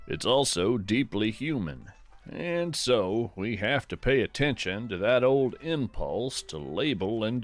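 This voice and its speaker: Slow, expressive man narrating reflectively. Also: medium pitch. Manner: contemplative, deliberate, gently wry. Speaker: podcast host and narrator. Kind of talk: scripted reflective nature narration.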